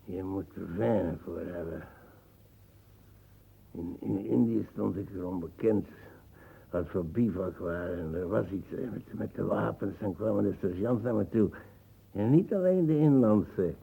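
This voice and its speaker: An actor playing a proud, defensive old colonial ex-soldier uncle in a radio play, talking in hesitant, scornful bursts. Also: male voice, low-pitched, measured pace, vocal fry, mellow, husky, heavily acted, rough recording. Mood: nostalgic, boastful. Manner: hesitant fillers, tag words, scornful laughing.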